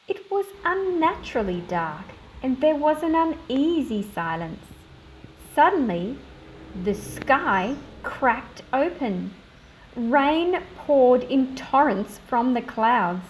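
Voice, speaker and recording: A woman with an Australian accent presenting a picture book for children, explaining things in simple, clear phrases. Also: on the high side, a little hiss or hum, low-quality recording. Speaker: read-aloud host for children.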